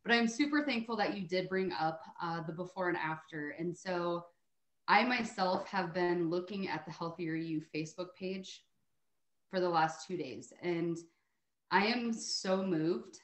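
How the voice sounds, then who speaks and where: An American woman speaks as a guest on a team call with an emphatic, encouraging manner. Her voice is a little low for a woman. She talks conversationally, like a motivator, checking in with listeners, and the audio has a clear room echo. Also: measured pace. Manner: encouraging, emphatic, often adds "right?". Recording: roomy, echoey call audio.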